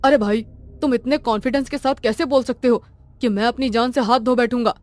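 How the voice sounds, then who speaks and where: Woman voicing a bold, irritable male traveller, higher-pitched. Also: steady tone, a little mellow, no room sound, close to an everyday voice. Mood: puzzled, questioning. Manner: brisk, argumentative, challenging. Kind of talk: cartoon story dialogue.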